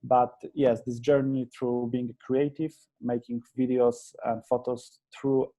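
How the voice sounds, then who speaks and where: A man's voice: mid-range voice, flat delivery, a little slow, warm tone. A man in his thirties from Poland with a Polish accent, a guest talking through his career in an earnest, hesitant way with many restarts. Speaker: interview guest, agency founder. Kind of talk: conversational interview.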